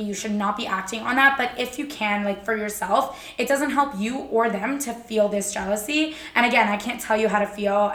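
A woman co-hosting a podcast in casual chat, with a somewhat hoarse voice and a teasing, playful manner. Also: fairly bright tone, reverberant. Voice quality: often hoarse, lowish for a woman. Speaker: podcast co-host.

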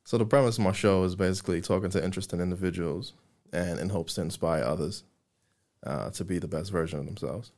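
A young man hosting a casual podcast interview, relaxed and friendly, swearing now and then. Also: low-pitched, quick pace. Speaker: podcast host.

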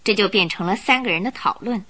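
A woman's voice: mid-range voice, fast, pushed and bright. A woman reading dramatic scripted narration for a radio drama.

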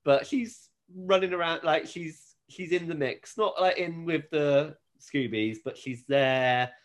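A man's voice: fairly high pitch, takes it slowly. A British man hosting a podcast, chatting casually and with amusement as he recaps a TV show. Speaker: podcast host.

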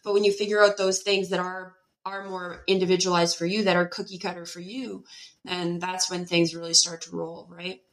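A woman explaining fitness in a casual, animated way, with many fillers and rhetorical questions. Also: on the low side, normal speed. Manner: animated, lots of filler words and rhetorical "right?". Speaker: pelvic health and fitness expert guest. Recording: echoey room.

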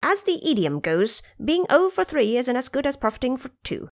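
Cocky immortal monkey king played by a woman, high-pitched, swooping, brash and mischievous, for fantasy audio drama. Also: sing-song, moderate pace, no echo, studio quality. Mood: breezy, smug. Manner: brash, insistent, bargaining.

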